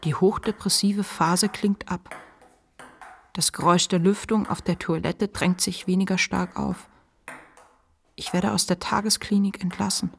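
An actress playing the inner voice of a depressed writer, weary and unflinching, in a measured literary monologue for a radio play. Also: female voice, mid-range voice, steady tone, creaky, husky. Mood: cautious relief.

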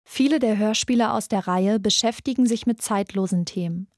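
A woman's voice: mid-range voice, moderate pace, no room sound. Woman narrator, dry studio sound. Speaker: podcast host and narrator. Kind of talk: scripted documentary narration.

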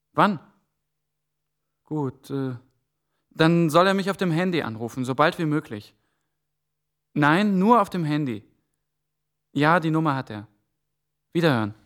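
A man's voice: fairly high pitch, fairly bright tone, dry recording, studio quality. An actor playing a young male EU trainee, tense and worried, musing to himself and talking politely on the phone. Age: young adult. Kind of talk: German TV drama acting.

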